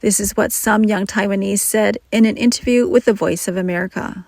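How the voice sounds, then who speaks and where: A woman narrating a news report in a measured, scripted reading. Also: mid-range voice, fairly even intonation, gravelly, darkish tone, no room sound, studio quality.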